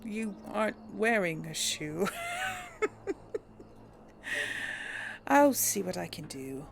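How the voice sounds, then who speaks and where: Slow, gravelly-voiced woman streamer. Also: medium pitch, very animated, over music. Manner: casual, chatty, laughs often. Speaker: game streamer. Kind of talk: casual let's-play commentary with in-character lines.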